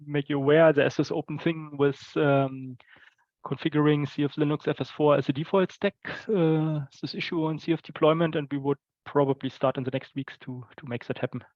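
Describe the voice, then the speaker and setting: A man's voice: fairly high pitch, steady tone, fairly quick, a little mellow, dry recording. A man explaining things tentatively and casually in a technical online meeting, as a working group participant. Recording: muffled, dull online call audio.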